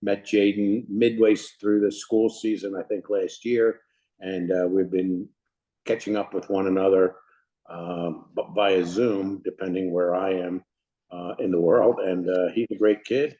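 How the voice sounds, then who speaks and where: A man's voice: sits low, steady tone, unhurried, mellow, rough recording. A man casually introducing himself as a volunteer on a group video call.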